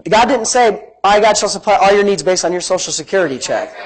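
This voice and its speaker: Male preacher, high-pitched big voice, folksy and emphatic teaching delivery, repetitive for emphasis. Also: a little fast, low-quality recording. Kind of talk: sermon, conversational teaching. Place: live-streamed church service, amplified.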